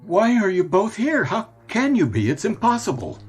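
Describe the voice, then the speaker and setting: Earnest nostalgic american man, kinda halting and lost, radio drama, soft music behind. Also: high voice, huge pitch swings, husky, exaggerated voice. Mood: desperate, pleading. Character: nostalgic, bewildered man revisiting his boyhood town.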